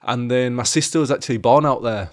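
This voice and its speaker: A man speaking at an ordinary middle pitch, relaxed and unguarded as he recounts his past. His talk is casual and plain-spoken, with frequent fillers and the occasional curse. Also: quick pace. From England. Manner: loose and candid, swears freely, peppers talk with "you know" and "like". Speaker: podcast guest. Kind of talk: casual conversational storytelling.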